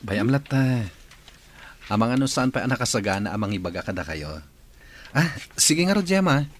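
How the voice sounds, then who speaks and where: A man's voice: mid-range voice, big pitch swings, moderate pace, slight background noise, close to an everyday voice. A man playing a casual young friend in an audio drama, with a Filipino accent. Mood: casual, curious.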